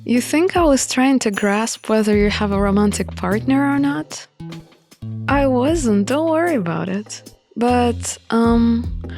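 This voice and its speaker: A woman's voice: on the high side, a little mellow. A woman voicing an outspoken, questioning young female alchemist in a visual novel. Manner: questioning, direct. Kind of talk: visual novel character reading. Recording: music under the voice.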